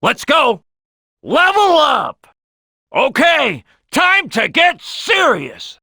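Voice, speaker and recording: Big cocky croc game character, bright punchy voice, swoops all over, loud and boastful. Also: male voice, very high voice, wildly swooping pitch, creaky, dry recording, studio quality, heavily acted. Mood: excited, rallying. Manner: loud, swaggering, boastful, drawn-out delivery. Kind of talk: exaggerated cartoon game voice lines.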